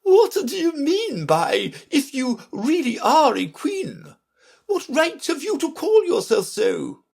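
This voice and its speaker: A woman voicing a sharp, impatient, bossy queen in a children's storybook, speaking emphatically. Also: high-pitched, vocal fry, voice pushed up, exaggerated voice. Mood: bossy, scolding. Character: Red Queen, sharp bossy imperious scolding royal chess piece.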